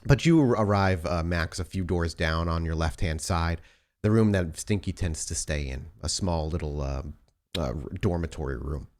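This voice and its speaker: Low-voiced man narrating as game master. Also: moderate pace, a little mellow. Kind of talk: improvised narration of a tabletop game.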